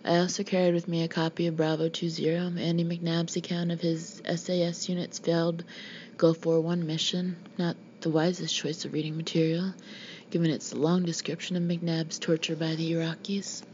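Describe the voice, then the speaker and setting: A woman's voice: fairly low pitch, flat delivery, normal speed, a little mellow. A woman reading a memoir aloud as scripted audiobook narration. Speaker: audiobook reader. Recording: dull lo-fi home recording, background noise.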